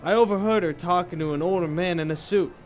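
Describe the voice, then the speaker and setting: Man acting a higher-voiced, gossipy noir bartender. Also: quick pace, faint music behind, natural voice. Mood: confiding. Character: friendly, gossipy male nightclub bartender in noir mystery. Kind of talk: noir radio drama dialogue.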